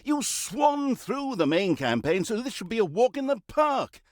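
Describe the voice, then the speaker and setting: An actor playing a theatrical, mock-pompous narrator of villainous deeds in a comic video game, with a sardonic, mocking manner. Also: male voice, very high voice, big pitch swings, unhurried, no echo, clean studio sound, exaggerated voice. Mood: sardonic scolding.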